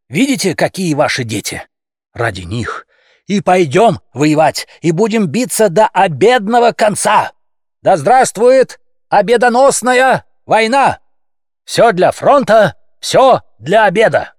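A man's voice: sits high, big pitch swings, a little slow, dry recording, studio quality, pitched above the performer's own voice, full character voice. A man voicing a pompous cat leader in an audiobook fairy tale, a bombastic demagogue who speaks with heavy emphasis. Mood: rousing, militant. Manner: emphatic, pompous, repeats phrases with pressure. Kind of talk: audiobook character acting, satirical fairy tale.

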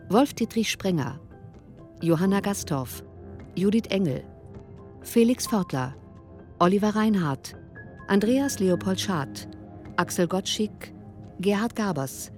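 A woman announcer with a fairly low voice reads scripted credits for a radio drama. Music runs clearly behind her. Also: warm tone.

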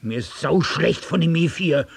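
An actor playing a distraught, irritable loner in a radio drama, musing in broken repetitions and turning cranky. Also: male voice, fairly high pitch, animated, unhurried, vocal fry, husky, exaggerated voice. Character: distraught, irritable, restless loner adrift in the world. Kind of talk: literary radio drama monologue and dialogue.

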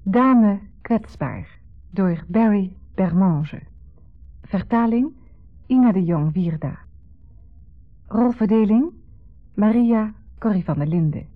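A woman's voice: medium pitch, animated, takes it slowly, pauses often. A woman formally reading credits as a radio announcer. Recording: narrow, muffled 1970s radio recording. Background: faint music.

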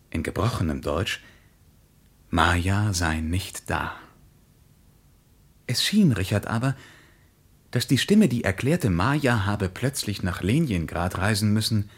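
An actor playing a reflective traveller who recounts a journey in deliberate, literary phrasing for a radio play. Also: male voice, fairly low pitch, expressive, husky, heavily acted. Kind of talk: scripted radio play monologue.

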